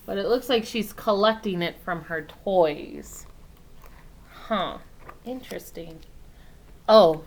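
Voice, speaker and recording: A woman storyteller reads a picture book aloud for children with highly varied intonation. She takes it slowly and adds simple explanatory asides in an expressive manner.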